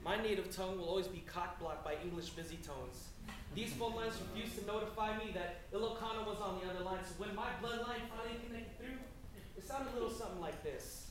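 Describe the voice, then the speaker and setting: A man's voice: high voice, quick pace, far from the mic, noisy background. Young man performing spoken word, echoey room. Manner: rhythmic, emphatic, echoing his partner's lines. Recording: echoey room heard from afar.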